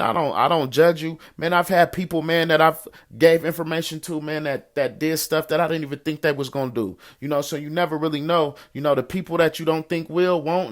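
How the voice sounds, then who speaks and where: An American man hosting an informal coaching call, answering listener questions in colloquial street slang, encouraging and repeating phrases for emphasis. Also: on the high side. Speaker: host of a financial coaching call, investor and entrepreneur.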